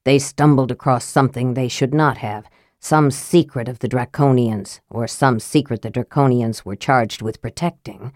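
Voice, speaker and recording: Cold, sly male wizard for a fantasy storybook, played by a woman. Low, smooth, measured, aloof. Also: darkish tone, no echo, studio quality. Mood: disdainful, cool.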